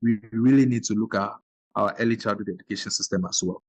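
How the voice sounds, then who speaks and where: Low-voiced man, dry close sound. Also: fast talker, no room sound. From Ghana. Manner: earnest, explanatory, with brief backchannel agreements. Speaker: podcast host. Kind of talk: podcast conversation.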